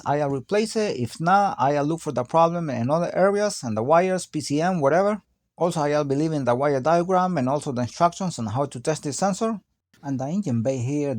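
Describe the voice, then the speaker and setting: A man's voice: fairly high pitch, darkish tone. A man giving a hands-on auto repair tutorial, explaining each step in a plain, practical way. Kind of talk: hands-on how-to narration.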